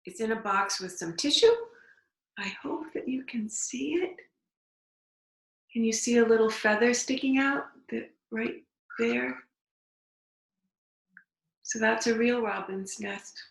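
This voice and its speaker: A woman reading a storybook aloud to young children, deliberately, with simple explanatory asides. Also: fairly high pitch, gravelly. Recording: echoey room.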